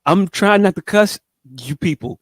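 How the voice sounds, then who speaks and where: A man's voice: sits high, expressive, moderate pace, no room sound, clean studio sound. A man chatting casually as a podcast host, with slangy, profane, joking banter and a slight stutter. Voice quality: slight speech impediment with some stutter. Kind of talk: casual chat.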